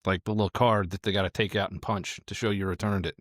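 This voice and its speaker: Man, roleplaying game player, lowish voice, dry recording, casual thinking aloud. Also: fairly even intonation, fast, a bit bright, no echo. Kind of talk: casual improvised game chat.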